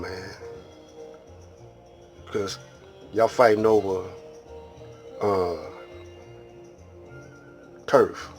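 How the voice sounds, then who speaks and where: Slow, low-voiced American man over music. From Detroit, Michigan, United States. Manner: halting, rambling street-talk delivery. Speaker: video host. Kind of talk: casual monologue to viewers.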